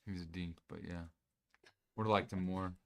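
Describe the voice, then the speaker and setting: A man chiming in on a card break, relaxed and agreeing, heard from farther off the microphone. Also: sits low, normal speed. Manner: brief agreeing remarks, relaxed.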